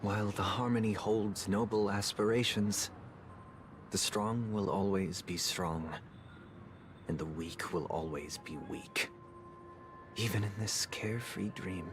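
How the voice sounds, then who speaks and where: An actor playing a solemn, disillusioned man in a video game, speaking in measured, formal, philosophical oratory. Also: lowish voice, fairly even intonation. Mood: grave, resolute. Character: solemn, disillusioned adult brother, an eloquent idealist turned cynic. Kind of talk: scripted video game drama. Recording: loud music behind the voice. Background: music.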